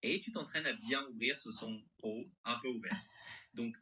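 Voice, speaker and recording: A man's voice: mid-range voice, normal speed, pushed and bright, slight background noise. A male AI assistant voice with a mixed French accent and American-sounding intonation, replying in an agreeable, accommodating, conversational way.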